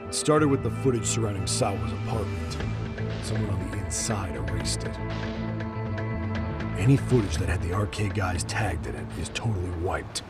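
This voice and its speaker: World-weary cop, low gruff voice, blunt and sardonic, game drama dub, loud music underneath. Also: male voice, expressive, moderate pace. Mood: weary, serious. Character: jaded, gruff middle-aged police detective, profane and weary.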